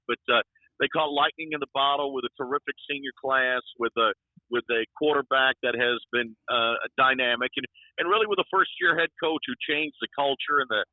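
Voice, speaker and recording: A man's voice: medium pitch, no room sound. A man who works as a radio play-by-play announcer, talking in a folksy, chatty way as a guest and repeating words as he thinks. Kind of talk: phone interview. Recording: tinny dry phone line.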